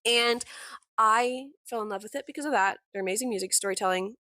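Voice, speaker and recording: A young woman hosting her own podcast, with a warm voice that is deeper than most women's, talking candidly and with passion, full of "like" fillers and the odd swear word. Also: a little fast, dry recording, studio quality. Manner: candid and impassioned, with frequent "like" fillers and mild swearing. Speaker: podcast host. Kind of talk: casual personal monologue.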